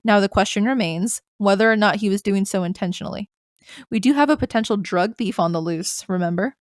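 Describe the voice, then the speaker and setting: A woman voicing a composed female rabbit prosecutor who speaks in a cool, measured, condescending way. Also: medium pitch, no room sound, clean studio sound, lightly acted. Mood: smug.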